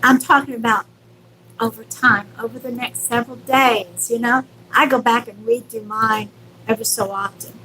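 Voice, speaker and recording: A woman's voice: fairly high pitch, steady tone, normal speed, bright, forceful tone, slight background noise, low-quality recording. A woman hosting a live stream as a psychic medium, speaking casually and affectionately to viewers with reassurance and endearments.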